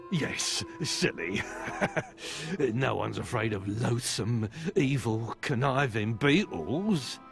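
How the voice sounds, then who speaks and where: Creaky, nervous shopkeeper. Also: male voice, medium pitch, lively intonation, over music. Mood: gleefully vengeful. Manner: halting, theatrical, drawn-out words. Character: nervous, vindictive, beetle-phobic male shopkeeper. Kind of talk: video game cutscene dialogue.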